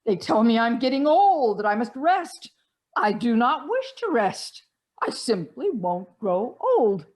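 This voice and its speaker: A woman's voice: a little high, sing-song, unhurried, darkish tone. Woman playing an imperious aging society matron, swooping voice. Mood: proud, indignant. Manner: theatrical, emphatic, grandly indignant. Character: imperious aging society matron, proud, jealous, refusing to grow old. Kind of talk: stage comedy acting, one-act play.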